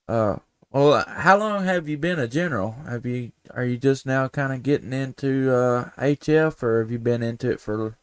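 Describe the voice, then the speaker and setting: A man talks casually as a ham radio operator hosting a stream. His voice is mid-pitched, smooth and very dry in the recording. He is folksy and unhurried in manner, pausing to think as he goes. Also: no room sound. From United States. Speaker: amateur radio operator, live stream host. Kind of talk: casual radio contact chat.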